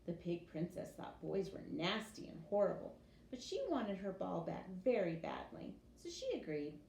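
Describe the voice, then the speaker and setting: A woman's voice: fairly low pitch, moderate pace. Woman reading a storybook in an echoey room. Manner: animated storytelling with acted character voices. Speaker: teacher reading a picture book to young pupils. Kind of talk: expressive children's storybook read-aloud. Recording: echoey room with clear background noise.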